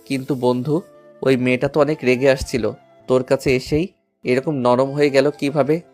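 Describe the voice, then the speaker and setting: A man's voice: medium pitch, fairly even intonation, moderate pace, darkish tone, faint music behind, lightly acted. Man playing an eager, lovestruck schoolboy. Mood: grateful, eager. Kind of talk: audio story dramatization.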